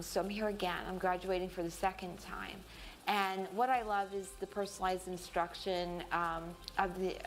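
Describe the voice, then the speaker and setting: A woman's voice: lowish voice, a little hiss or hum, rough recording. A woman in her fifties graduating for the second time, speaking earnestly in a testimonial interview. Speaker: returning graduate.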